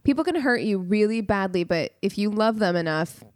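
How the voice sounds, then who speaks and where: A woman's voice: medium pitch, animated, normal speed, dry recording, studio quality. A woman co-hosting a casual podcast, reacting with wry commentary. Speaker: podcast co-host. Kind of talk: casual chat.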